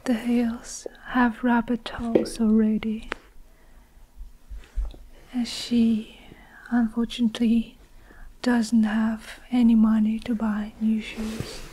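Very slow, whispering young woman. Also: on the high side, monotone, a little hiss or hum, rough recording. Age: young adult. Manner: slow, hushed, deliberate, with long pauses. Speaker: ASMR performer narrating a story. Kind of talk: whispered ASMR story narration.

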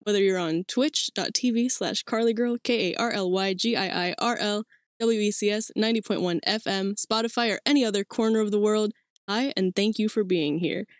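Casual solo podcaster in her twenties, from Mexico; mid-pitched, chatty and rambling, close dry room sound. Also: brisk, no echo. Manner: chatty, rambling with fillers and laughs. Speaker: podcast host. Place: small cluttered room indoors.

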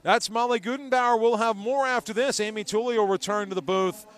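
A man's voice: sits high, fairly even intonation, a little fast. A middle-aged American man hosting a post-game sports interview on a headset mic. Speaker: sports broadcast host. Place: courtside in an indoor gym.

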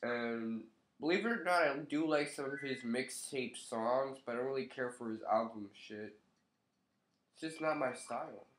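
A young man with a congested, nasal voice, still getting over a cold, chats offhandedly into his webcam with plenty of swearing. He sits in his living room, and the camera's own mic picks up a roomy, echoing sound. His pitch sits in an ordinary middle range. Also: moderate pace, a bit bright, a little hiss or hum. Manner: loose, profane, offhand. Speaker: vlogger. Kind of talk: casual commentary to camera.

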